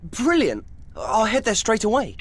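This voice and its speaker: A suspicious, determined schoolboy in a video game. His earnest delivery is lively in pitch, with faint noise behind it. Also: a little slow, exaggerated voice. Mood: indignant. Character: earnest, determined, suspicious teenage boy wizard. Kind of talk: video game cutscene acting.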